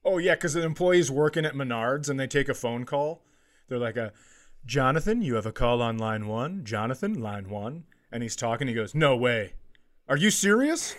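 A man's voice: medium pitch, animated, moderate pace. A man co-hosting a comedy podcast, trading teasing, playful banter and acting out imagined dialogue.

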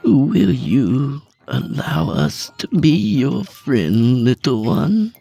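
A man voicing a gentle, nervous man who coaxes a wild creature in soft, halting, overly polite words. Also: medium pitch, big pitch swings, gravelly, breathy edge, pitched above the performer's own voice, heavily acted. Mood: gentle coaxing. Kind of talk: storybook reading.

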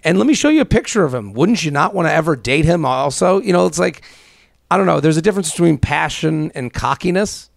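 A man's voice: on the high side, lively intonation, fairly quick, gravelly, dry recording, clean studio sound. A man co-hosting a podcast in an informal style, chatting and reading out listener mail. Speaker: podcast co-host. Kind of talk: casual podcast banter, reading listener emails aloud.

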